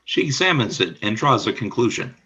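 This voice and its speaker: A man narrating the stage action of an amateur radio play in an even reading. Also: mid-range voice, fairly quick, husky, poor audio.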